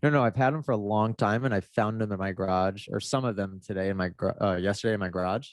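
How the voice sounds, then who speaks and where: A man's voice: on the low side, quick pace, no echo. A man chatting casually in a podcast, rambling and laid-back with lots of fillers, his nose a little stuffed up. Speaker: motocross racer.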